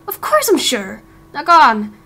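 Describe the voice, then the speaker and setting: A woman's voice: high voice, big pitch swings, measured pace, sharp tone, heavily acted. A woman voicing a friendly, encouraging young village man in a game roleplay, with a reassuring manner. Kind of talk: amateur game roleplay acting.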